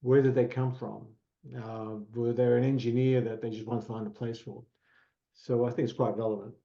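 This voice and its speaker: An Australian man with an Italian mother tongue talks through his career in a relaxed, chatty way, mid-pitched and even. The audio is slightly dull and lo-fi, like a remote call. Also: moderate pace. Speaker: guest. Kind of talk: casual podcast conversation.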